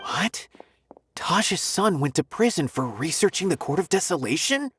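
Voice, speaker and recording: An actor playing an earnest young officer in a video game, with an expressive way of talking. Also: male voice, medium pitch, moderate pace, faint music behind, close to an everyday voice. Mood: shocked.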